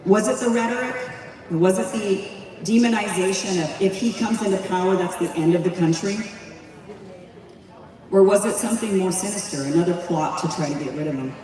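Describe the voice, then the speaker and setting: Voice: medium pitch, brisk, a little mellow. A speaker giving a rousing public speech at a community event, asking many rhetorical questions. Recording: echoey hall, voice from far off.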